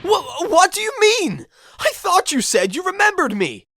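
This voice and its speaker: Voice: extremely high pitch, sing-song, unhurried, sharp tone, full character voice. An actor playing a bewildered, polite amnesiac spirit with dry wit in a video game fan dub, hesitant and given to exaggerated surprise. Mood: incredulous. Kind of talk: dramatic fan dub with inner monologue.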